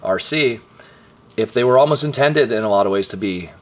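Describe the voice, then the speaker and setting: A hoarse, tired man hosting a casual toy review, chatting along and often clearing his throat. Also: on the low side, expressive, fairly quick. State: hoarse, voice partly lost. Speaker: toy reviewer and channel host.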